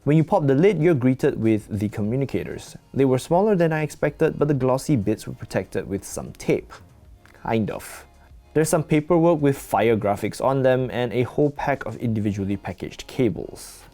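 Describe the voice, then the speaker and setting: Bright, animated man narrating a product review. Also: mid-range voice, normal speed, faint music behind.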